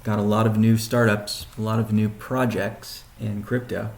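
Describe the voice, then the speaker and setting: Man, company executive, business Q&A, gravelly creak, deliberate and halting, roomy echo. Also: on the low side, little pitch movement, darkish tone. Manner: halting, deliberate, with frequent pauses and restatements. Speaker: company CEO hosting a weekly conference call. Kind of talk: question-and-answer business briefing. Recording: roomy, echoing sound.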